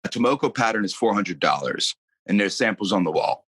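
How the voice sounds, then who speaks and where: A performer playing a curt, bored male tattoo artist who answers in a terse, flat deadpan, utterly indifferent. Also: deep voice, fairly quick, breathy edge, no room sound, exaggerated voice.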